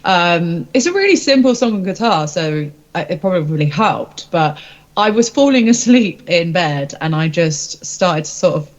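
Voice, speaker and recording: A woman's voice: lowish voice, sing-song, normal speed, highs cut off. A woman, a rock singer-songwriter, chatting casually in an interview in an informal, rambling, self-deprecating way.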